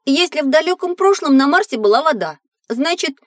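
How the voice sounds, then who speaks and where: A woman voicing an eager, wondering schoolboy in an educational audio drama, excitable and inquisitive. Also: sits high, a little fast, no room sound, studio quality. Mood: amazed, curious. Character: eager, wondering little boy pupil.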